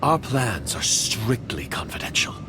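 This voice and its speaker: A man's voice: on the low side, very animated, a little slow. A man playing a curt, calculating covert agent in a video game, guarded and condescending. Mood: suspicious. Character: suspicious, curt male covert agent, coldly calculating villain. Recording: music behind the voice.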